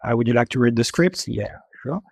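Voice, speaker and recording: French-accented male guest in his fifties, halting, dry close sound. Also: medium pitch, creaky, darkish tone, slightly rough, no room sound. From France. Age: 50s. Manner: halting, many filler pauses, laughs often. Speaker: special makeup effects supervisor guest. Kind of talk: interview answers.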